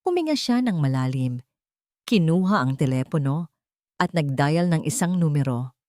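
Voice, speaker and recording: A woman's voice: sits low, animated, moderate pace, a little mellow, no echo, clean studio sound. A woman narrating a scripted dramatic story in a solemn, melodramatic manner.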